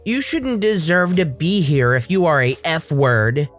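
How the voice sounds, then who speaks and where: A man's voice: fairly high pitch, animated, unhurried, fairly bright tone, faint music behind. A man voicing a hostile, bigoted entitled middle-aged mother who drags out her insults in a sneering way. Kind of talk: acted quotes in a read-aloud story.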